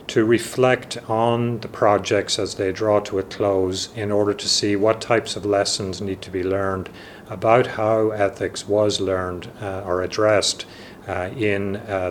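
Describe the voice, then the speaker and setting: A man presenting a research project, speaking in a halting way with pauses mid-phrase. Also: fairly low pitch, slight background noise.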